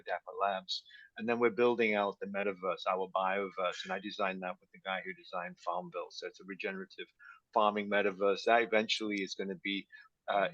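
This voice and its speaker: Deep bright-voiced guy on a call, lots of uhs, kinda dull dry audio. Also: no echo. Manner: rambling, many "uh" fillers. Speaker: founder introducing his project. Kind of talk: casual video call introduction. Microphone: video call.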